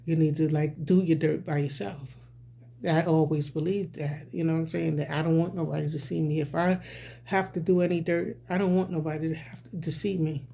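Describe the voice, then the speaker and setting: A man's voice: on the high side, flat delivery, fairly quick, gravelly, mellow, slight background noise. A man vlogging a rambling, casual social commentary, often repeating "you know what I'm saying.".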